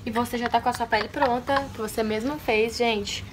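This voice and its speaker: A woman's voice: fairly high pitch, steady tone, fast talker, heavy background noise. A young woman with a Brazilian accent casually explaining makeup to a handheld camera in a home. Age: young adult. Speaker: makeup artist and blogger. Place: home kitchen area. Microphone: handheld camera at arm's length.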